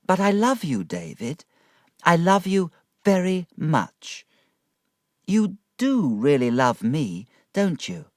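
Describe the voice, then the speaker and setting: A man voicing a fragile, affectionate, childlike young wife with gentle pleading in an audiobook reading. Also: fairly high pitch, big pitch swings, slow pace, no room sound, studio quality, voice pushed up. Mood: loving, pleading.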